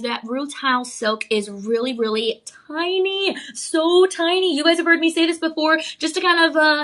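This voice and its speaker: A woman hosting a live home shopping show, bubbly and gushing, her voice slightly raspy from a cough. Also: high-pitched, sharp tone. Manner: bubbly and effusive, gushing over the product. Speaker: shopping channel host.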